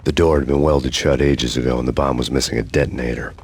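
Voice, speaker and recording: An actor playing a world-weary, sardonic undercover cop, narrating in a brooding deadpan noir style. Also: male voice, extremely low pitch, quick pace, background noise.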